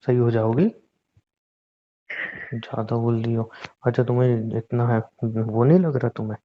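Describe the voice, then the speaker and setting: A man's voice: mid-range voice, steady tone, normal speed, natural voice. A young man playing a teasing, boastful newlywed husband in casual, bantering audio-drama dialogue. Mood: teasing, practical. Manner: informal, bantering. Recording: dull lo-fi phone-call style audio.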